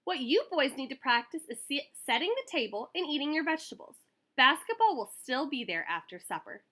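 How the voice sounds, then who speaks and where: A woman's voice: high-pitched, sing-song, fairly quick, sharp tone, pitched above the performer's own voice, heavily acted. Woman reading as a loving, firm mother. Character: loving, reassuring mother of young boys.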